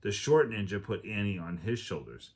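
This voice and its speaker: A man with a fairly low voice reads a children's adventure book aloud. He tells it expressively and acts out the characters' lines as he goes. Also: expressive, normal speed, slightly rough. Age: adult. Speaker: teacher reading aloud.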